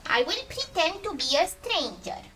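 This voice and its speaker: A woman with a squeaky voice, playfully coaching another player in character. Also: big pitch swings, normal speed, sharp tone, recorded from a distance, a little hiss or hum. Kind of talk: playful in-character tabletop roleplay. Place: studio set with desk, indoors.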